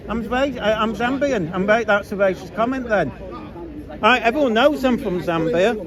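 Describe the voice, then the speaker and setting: An indignant man with a fairly high voice complains in a rambling, heated way, restarting his sentences. He is recorded outdoors on a city street on a handheld phone. A protest crowd murmurs steadily behind him. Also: normal speed. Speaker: protester filming a street confrontation. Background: loud protest crowd.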